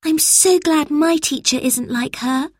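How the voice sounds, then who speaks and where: A woman's voice: high-pitched, a little slow, no echo, clean studio sound, voice pushed up. A woman voicing a thoughtful, caring young schoolgirl in a children's story, earnest and childlike. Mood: earnest, concerned.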